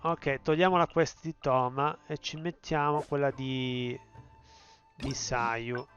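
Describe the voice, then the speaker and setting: A man's voice: medium pitch, steady tone, a little slow, lo-fi sound, soft background music. A man from Italy commentating casually over a video game, thinking aloud. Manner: thinking aloud, mumbling, uneven loudness. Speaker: streamer.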